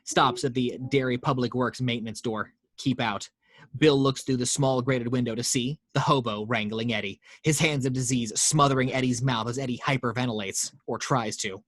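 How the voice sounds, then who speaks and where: A man reading action lines aloud as narrator of a table read, in a steady storytelling way with small slips. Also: medium pitch, normal speed, few pauses, no room sound.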